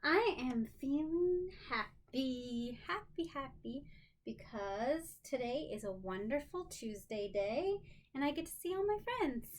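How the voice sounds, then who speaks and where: A woman with a fairly high voice teaches young children in an exclamatory, sing-song manner. Her pitch rises and falls widely and she speaks very slowly, as a teacher leading a lesson would. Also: lively intonation. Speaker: special education teacher. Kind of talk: children's lesson and read-aloud.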